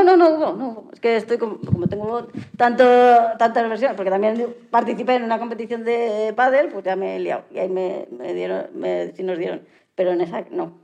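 A slightly lo-fi recording carries a woman's soft-toned voice. She speaks with a Spain accent as a guest in a casual interview. Her delivery is plain-spoken and direct, with a mellow edge. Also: fairly high pitch, gravelly. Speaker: interview guest, adaptive sports athlete. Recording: slightly dull lo-fi audio.

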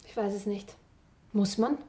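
A woman's voice: medium pitch, a little slow, warm tone, slight background noise, close to an everyday voice. An actress playing a thoughtful woman asking probing questions, understated, in a naturalistic radio drama. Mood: quiet, pensive. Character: thoughtful, questioning woman listening to an older friend.

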